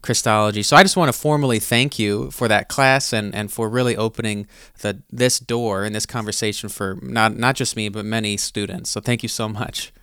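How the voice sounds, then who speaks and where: Male interview host, mid pitch, smooth and clear, dry close sound. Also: steady tone, moderate pace, a bit bright, no room sound. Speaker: podcast host. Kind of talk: interview host, casual and appreciative.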